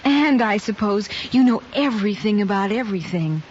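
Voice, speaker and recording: A young woman acting an earnest, teasing high school girl, chatty and questioning, in 1950s radio drama style. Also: expressive, moderate pace, a little mellow, close to an everyday voice. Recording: muffled, faintly noisy old radio recording.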